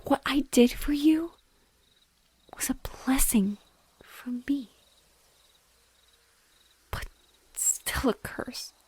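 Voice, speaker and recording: A woman voicing a mournful, gently loving banshee in a breathy whisper, slow and tender, as in an intimate audio roleplay. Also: high voice. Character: sorrowful female banshee, gentle and lovingly devoted.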